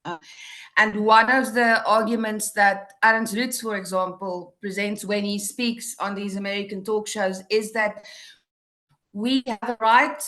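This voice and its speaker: A woman's voice: medium pitch, fairly even intonation, measured pace, a bit bright. A woman from South Africa whose first language is Afrikaans, answering as a panelist in an academic discussion over a video call, tentative and correcting herself.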